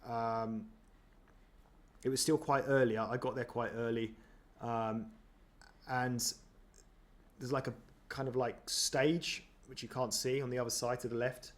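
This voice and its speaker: A man's voice: mid-range voice, flat delivery, measured pace. A man chatting casually as he reacts to footage of himself, self-deprecating, with many ums and restarts. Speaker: running vlogger.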